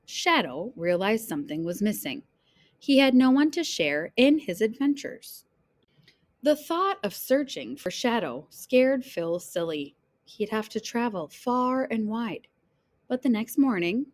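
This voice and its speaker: Animated, slow-paced woman reading a storybook. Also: a little high. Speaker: read-aloud storyteller, teacher. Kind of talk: expressive children's picture book read-aloud.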